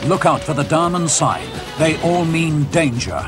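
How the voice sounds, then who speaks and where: A man's voice: on the high side, expressive, measured pace, loud music behind the voice. A man reading a scripted public safety announcement as narrator.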